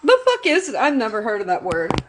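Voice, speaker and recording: A woman's voice: a little high, huge pitch swings, brisk, background noise. A woman hosting a livestream, reacting to a clip with sassy, swearing remarks and frequent laughs. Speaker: livestream host.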